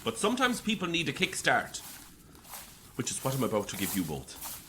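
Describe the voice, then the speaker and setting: A man's voice: medium pitch, wildly swooping pitch, normal speed, pushed and bright, slight background noise, full character voice. A man acting an intense, prickly Irish priest and bereaved father in an audio drama, preaching and combative. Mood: wry, preachy.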